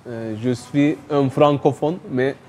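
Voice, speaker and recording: A man from Turkey with a Turkish accent, answering interview questions hesitantly and with many pauses. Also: mid-range voice, steady tone, a little hiss or hum. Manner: slow, many pauses and fillers, soft laughs. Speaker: guest, writer and calligrapher.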